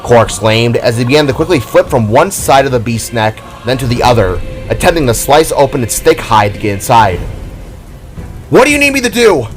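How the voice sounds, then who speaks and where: A man's voice: medium pitch, animated, brisk, hardly any pauses, faint music behind. A man giving dramatic, theatrical narration of a scripted fantasy story.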